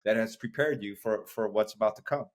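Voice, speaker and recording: A man's voice: on the low side, fairly quick. A man in his thirties hosting an interview, casual and affirming, with many fillers. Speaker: podcast host, doctor.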